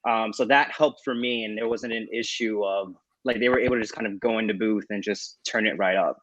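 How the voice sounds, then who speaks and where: A man's voice: lowish voice, fairly quick, fairly bright tone, lo-fi sound, slight background noise. A man talking informally as a panelist about his directing work, in loose, unscripted phrasing. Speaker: panelist, audio drama director. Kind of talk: casual panel talk.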